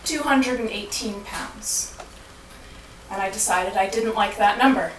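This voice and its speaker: A woman delivering a rhyming spoken-word monologue in a dramatic, deliberate storytelling manner. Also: medium pitch, fairly bright tone. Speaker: monologue performer. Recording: echoey, noisy room from far off.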